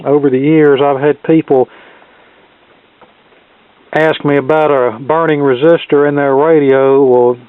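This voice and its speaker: A thin, band-limited recording with little room sound carries a man's fairly high-pitched voice. He talks through a repair like an instructor, methodical and measured, explaining as he goes. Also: steady tone, smooth, no echo, phone-quality sound. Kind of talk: hands-on repair tutorial, talking through steps. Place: indoor cluttered electronics workbench.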